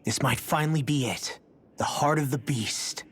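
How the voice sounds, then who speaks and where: A woman's voice: very deep voice, normal speed, slightly rough. A woman voicing a wry, teasing young fighter in a video game, casual and sardonic. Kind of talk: video game dramatic acting.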